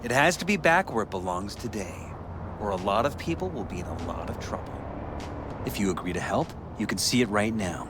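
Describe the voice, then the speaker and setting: A man playing a courteous, persuasive middle-aged politician in a video game, speaking in a formal, measured way. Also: fairly low pitch, sing-song, noisy background. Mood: earnest, insistent. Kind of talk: cinematic video game acting.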